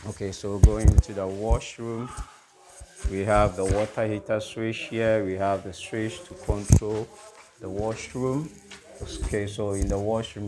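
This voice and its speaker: A man giving a casual walk-through as an electrician, halting as he lists items, on a handheld recording inside an unfinished house. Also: lowish voice. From Ghana. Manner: halting, itemising. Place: indoors, unfinished house with bare walls. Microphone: handheld phone or camera. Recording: noisy handheld recording in bare room.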